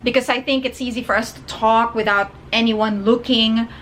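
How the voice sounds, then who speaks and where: A woman's voice: on the high side, steady tone, normal speed, a bit bright, slight background noise. A young woman with a Filipino accent, a native Tagalog speaker, giving chatty, encouraging advice in a casual vlog. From Philippines. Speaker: vlogger and ESL teacher.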